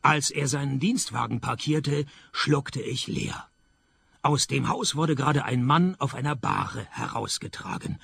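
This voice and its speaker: A gravelly, sardonic private detective. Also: male voice, mid-range voice, a little slow, slight background noise. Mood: sarcastic. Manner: deadpan, dry sarcasm. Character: sardonic, deadpan male private detective narrating his case. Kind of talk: comic noir radio play narration.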